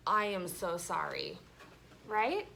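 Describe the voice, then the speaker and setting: A woman teacher reading a story to children and discussing it with them in an animated, conversational way, often checking in with questions. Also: fairly low pitch, slow, gravelly, slight background noise, low-quality recording. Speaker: elementary school teacher. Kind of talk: children's story read-aloud with class discussion. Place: classroom with children.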